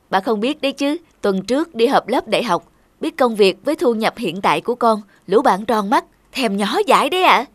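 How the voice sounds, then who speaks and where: A woman's voice: a little high, no room sound, lightly acted. A woman playing a complacent young office-worker son in a cartoon, explaining himself with self-assurance. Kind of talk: cartoon dialogue.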